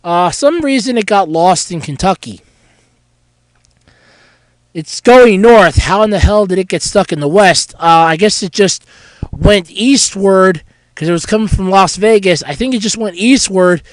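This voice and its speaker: A young man hosting a casual solo podcast while sick with a cold, hoarse and stuffy, rambling candidly about his week. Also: high voice, a little slow, no echo, rough recording. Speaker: podcast host.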